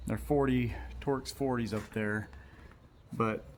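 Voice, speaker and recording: A man's voice: medium pitch, fairly even intonation, slow. A man narrating a casual how-to as an installer, explaining informally step by step while he works. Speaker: installer giving a tutorial. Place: in and around a utility vehicle in a garage or shop. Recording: noisy workshop ambience behind voice.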